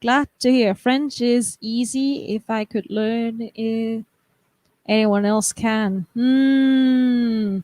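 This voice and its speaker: A woman's voice: fairly high pitch, steady tone, extremely slow, dark tone, dry recording. A young woman from the United States hosting a live stream, chatting casually and reading viewer comments aloud, often laughing and restarting her sentences.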